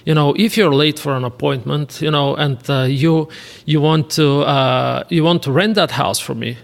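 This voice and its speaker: A man with a Bulgarian accent chatting casually as an interview guest, speaking in halting, hesitant phrases. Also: fairly high pitch, vocal fry. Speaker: podcast guest, real estate investor. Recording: reverberant, hall-like room.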